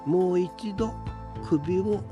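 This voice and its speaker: A man reading a scripted folktale in a measured, deliberate storytelling manner with pauses. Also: high-pitched, lo-fi sound. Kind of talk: scripted Japanese folktale narration. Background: background music.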